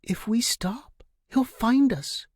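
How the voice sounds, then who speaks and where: A man voicing a determined, fearful woman on the run in a storybook drama, sharp and insistent. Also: very high-pitched, takes it slowly, no echo, clean studio sound, voice pushed up, full character voice. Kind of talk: storybook dialogue in dramatic fiction.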